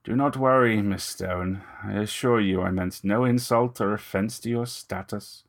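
A man's voice: lowish voice, normal speed, natural voice. A man voicing a corpulent, genteel vampire in an audio story, unctuous, formal and menacing. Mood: oily, courteous. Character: corpulent, genteel, predatory male vampire with ragged breath.

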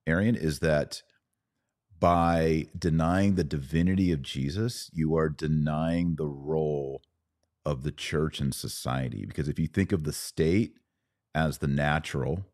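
A man with an extremely low voice hosts a religious discussion. The sound is dry, with no room around it. He is conversational and agreeable, working through his explanations reflectively. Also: a little slow, a little mellow, no room sound. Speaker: podcast host.

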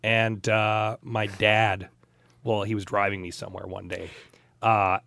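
A man in a casual podcast conversation, telling personal anecdotes in a measured, thoughtful, hedging way. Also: fairly low pitch, level tone, creaky, fairly bright tone, no room sound.

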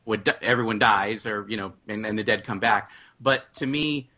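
A man's voice: on the low side, normal speed, bright, forceful tone. A man explaining his views earnestly as a panelist in a discussion.

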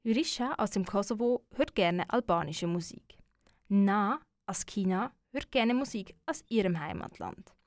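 A woman presenting a community radio feature in a clear, measured way. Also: medium pitch, animated, no echo. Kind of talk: scripted radio narration.

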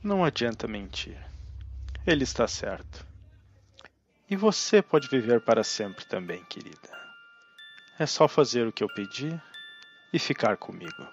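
An actor with a Brazilian accent playing a manipulative undead necromancer in an audio drama, theatrical, grandiose and cajoling. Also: male voice, mid-range voice, very animated, a little slow, pauses often, dull audio, soft background music. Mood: persuasive, sly. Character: undead male necromancer ancestor, smug, manipulative, persuasive villain.

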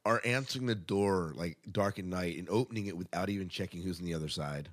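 A man with a fairly low voice hosts a casual entertainment podcast. He moves through news items in a conversational way, offering his take and inviting his co-host to respond. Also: fast. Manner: conversational, tosses questions to co-host. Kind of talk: casual movie news chat.

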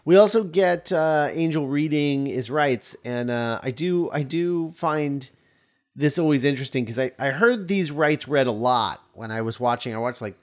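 A man's voice: a little high, animated, normal speed. An American man co-hosting a film podcast, chatting casually with a wry, conversational manner. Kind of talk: casual film discussion chat.

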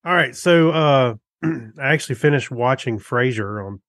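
Halting man chatting casually, dry sound. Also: medium pitch, expressive, a bit bright, dry recording. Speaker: podcast co-host. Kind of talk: casual chat reviewing TV shows.

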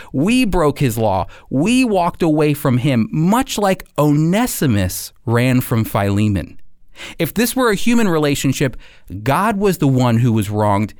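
A man giving a scripted radio sermon as host and Bible teacher, speaking earnestly with deliberate, emphatic phrasing. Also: on the high side, a little mellow, no room sound, clean studio sound.

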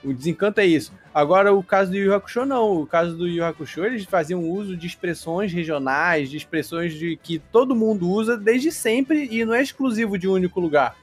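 A man's voice: high-pitched, brisk, hardly any pauses, slightly rough, faint music behind. A man on a podcast, arguing his point at length. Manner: argumentative, explains at length. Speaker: podcast co-host. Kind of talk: casual chat.

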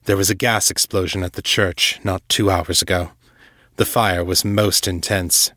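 A man voicing a powerful, condescending vampire lord in his fifties, formal and unhurried, in an audiobook drama. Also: deep voice, steady tone, bright, forceful tone, dry recording, studio quality, exaggerated voice. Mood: grave, measured. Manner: formal, unhurried, smoothly chiding.

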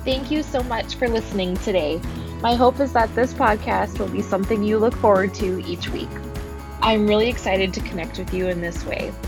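A woman hosting her own parenting podcast, talking casually with frequent soft laughs and ums. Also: medium pitch, normal speed, over music. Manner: relaxed, laughs often, many ums. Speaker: podcast host. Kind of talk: casual solo podcast talk.

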